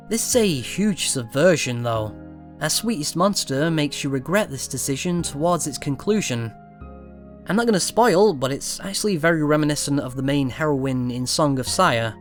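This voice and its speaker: A man hosting a video review, reading a scripted narration in a measured way with trailing asides. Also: a little high. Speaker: video game reviewer, channel host. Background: music.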